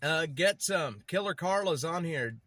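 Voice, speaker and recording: A man's voice: fairly high pitch, animated, unhurried, sharp tone, dry recording. A man with a stutter, chatting casually on a livestream from a city street while moving around, rambling and repeating himself.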